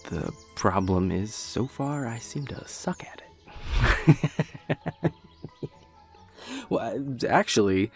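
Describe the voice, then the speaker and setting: A man's voice: medium pitch, gravelly, breathy edge, full character voice. A young man playing a dorky, earnest boyfriend in an intimate audio roleplay, rambling hesitantly and nerdily with playful self-deprecation. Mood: sheepish. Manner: hesitant, rambling, nerdy explaining.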